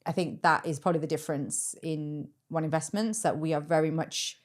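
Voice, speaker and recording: A young woman raised in the UK, hosting a relaxed interview from a podcast studio. Her voice sits a little low for a woman, and she talks in a chatty, easygoing way, laughing easily and reaching for homely comparisons to make her point. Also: brisk. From United Kingdom. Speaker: podcast host. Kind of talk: casual interview chat.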